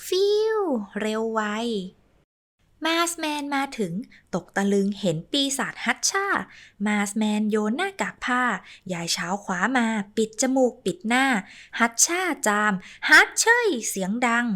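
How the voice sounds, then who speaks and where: A woman narrates a rhyming children's tale in a bouncy, sing-song way, throwing in playful sound effects. Her voice sits somewhat high and swoops widely in pitch. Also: very animated, a little slow, pushed and bright. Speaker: storyteller.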